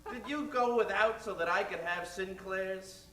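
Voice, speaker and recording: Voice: mid-range voice, moderate pace, fairly bright tone, far from the mic, slight background noise, natural voice. An adult performer playing an eager, wholesome schoolboy in a parody 1940s radio commercial. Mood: grateful. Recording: roomy stage sound, off-mic.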